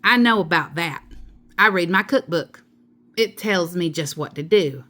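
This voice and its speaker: A woman's voice: on the low side, expressive, a little slow, sharp tone. A woman voicing a cheerful, literal-minded, folksy woman teacher in a children's storybook, full of exclamations.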